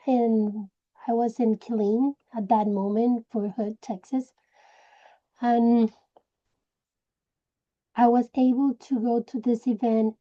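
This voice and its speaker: Slow, mellow Puerto Rican woman. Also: fairly high pitch, highs cut off. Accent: Puerto Rican Spanish. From Puerto Rico. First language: Spanish. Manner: slow, searching for words, many restarts. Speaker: direct sales director. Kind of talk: personal story told to a group.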